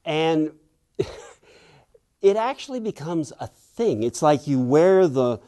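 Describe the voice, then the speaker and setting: Slow, mellow older man reminiscing. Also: fairly high pitch, sing-song. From Western Pennsylvania. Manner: thoughtful, unhurried, acts out quoted people. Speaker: author being interviewed. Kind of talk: reflective storytelling interview.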